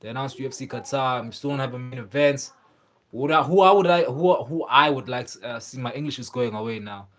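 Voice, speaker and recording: A man's voice: medium pitch, expressive, a little fast, a bit bright. A young man hosting a livestream, chatting casually and rambling with many fillers and restarts. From South Africa. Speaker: livestream host. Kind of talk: casual livestream chat about fights.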